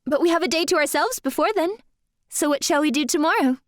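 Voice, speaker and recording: A woman's voice: high voice, lively intonation, a little fast, dry recording, clean studio sound. A woman playing an earnest, polite young magical dancer in an audio drama, with a sincere, careful, courteous delivery. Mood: happy.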